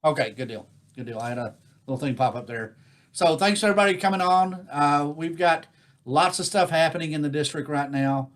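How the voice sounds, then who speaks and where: An American man speaking informally as host of a virtual club meeting, giving announcements in a halting, rambling, folksy way. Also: fairly high pitch. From United States. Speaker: Rotary district governor hosting online club meeting. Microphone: video call.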